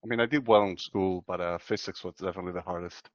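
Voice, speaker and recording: A man from Chile in his thirties, talking in a casual interview as the guest, soft-spoken and hesitant with many fillers. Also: low-pitched, fairly even intonation, fast, dry recording, lo-fi sound. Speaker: guest computer scientist.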